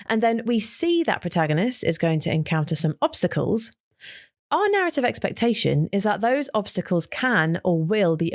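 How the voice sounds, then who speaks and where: Podcast narrator, female, lively and varied intonation, recorded dry. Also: lowish voice, no echo. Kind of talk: scripted narration.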